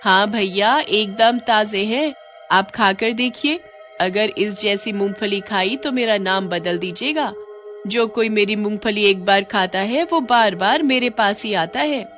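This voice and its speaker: A woman's voice: mid-range voice, unhurried, a bit bright, close to an everyday voice. Almond seller, cartoon, woman narrator performing: female hawker, coaxing, lilting, music behind. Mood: friendly, coaxing. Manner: lilting, coaxing sales patter. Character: sweet-talking woman street almond seller. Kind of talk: Hindi animated story. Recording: music under the voice.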